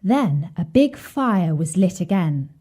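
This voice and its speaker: A woman reading a scripted children's bedtime story in a measured, expressive way. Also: lowish voice, husky.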